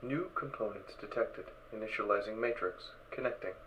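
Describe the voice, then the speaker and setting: A man voicing a malfunctioning talking spacesuit computer in a fan audio drama, giving clipped, monotone, clinical system announcements. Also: on the low side, moderate pace, lightly acted, low-quality recording.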